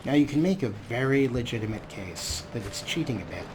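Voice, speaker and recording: A man's voice: medium pitch, animated, fairly quick, noisy background. A man hosting a game let's play, commenting in a sarcastic, self-mocking way with casual swearing. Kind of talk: gameplay commentary.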